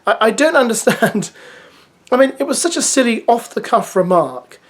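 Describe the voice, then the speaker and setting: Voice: mid-range voice, very animated, normal speed. A vlogger who reads a reality show episode preview and then gives chatty, opinionated, exasperated asides.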